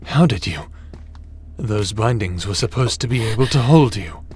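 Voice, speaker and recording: A man's voice: fairly low pitch, expressive, a little mellow, close to an everyday voice. A man playing a smug, scheming, power-hungry villain in a sci-fi audio drama, with a taunting, gloating manner. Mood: shaken, defiant. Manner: slow, taunting, gloating delivery. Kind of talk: sci-fi audio roleplay acting.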